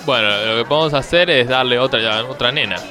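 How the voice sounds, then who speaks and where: Un hombre haciendo de médico argentino chanta y titubeante. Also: male voice, mid-range voice, music in the background, lightly acted. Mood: casually callous. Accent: Rioplatense Argentine. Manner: halting, deadpan, hesitant. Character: shady, bumbling thirty-something doctor, casually callous. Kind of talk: improvised radio comedy sketch.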